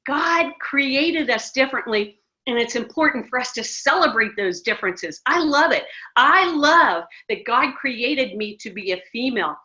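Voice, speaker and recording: A woman's voice: high-pitched, lively intonation, normal speed, bright, forceful tone. A middle-aged American woman, a ministry director, talking emphatically as a guest in an interview, with many restarts. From United States. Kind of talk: casual interview conversation. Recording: muffled lo-fi sound.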